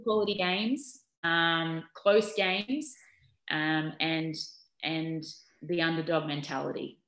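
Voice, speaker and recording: A middle-aged woman with an Australian accent talks in a low, lively voice, filling her answers with ums and restarts. Her voice rings in an echoey room. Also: takes it slowly. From Newcastle, New South Wales, Australia. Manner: chatty and animated, many ums and restarts. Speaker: interviewee, former basketball player. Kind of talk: casual interview answers.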